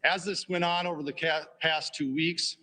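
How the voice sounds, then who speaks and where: A man, a police chief, reading a formal press statement in a measured, deliberate way. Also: on the high side, steady tone, sharp tone. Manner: measured, deliberate official delivery.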